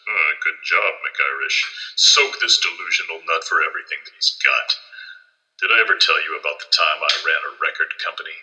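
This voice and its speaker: An actor playing a crotchety conservative magazine boss, a gruff, pompous man given to ranting in an audio play. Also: very deep voice, fairly quick, pushed and bright, exaggerated voice, poor audio. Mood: gruff, indignant.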